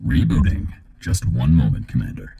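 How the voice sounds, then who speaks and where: Obliging ship computer, rock-bottom pitch, very dark, formal scripted delivery. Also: male voice, animated, gravelly, husky, full character voice. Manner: formal, measured. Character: obliging formal male spaceship AI companion. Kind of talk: scripted voice pack lines.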